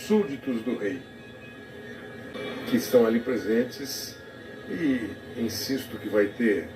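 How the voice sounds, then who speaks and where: A male TV commentator reflecting on a live broadcast, talking haltingly with many restarts. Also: medium pitch, music in the background. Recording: TV audio recorded off the air from a distance in an echoey room, noisy.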